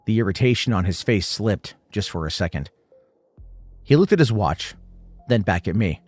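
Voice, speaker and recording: Man, low voice, animated intonation, suspenseful scripted horror narration, clipped dramatic phrases, dry close recording. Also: moderate pace, no echo, soft background music.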